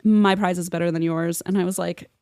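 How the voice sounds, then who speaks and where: A woman's voice: on the low side, steady tone, a little fast, dark tone, clean tone, no room sound, clean studio sound. A woman in her thirties telling comic stories among friends in a dramatic, self-mocking manner. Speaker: podcast guest and storyteller.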